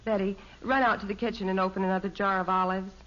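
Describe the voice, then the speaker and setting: A woman playing a patient, reassuring mother in a 1950s American radio sitcom, soothing and gently firm, with an American accent. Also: mid-range voice, steady tone, quick pace, slight background noise, close to an everyday voice. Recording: dull old radio recording.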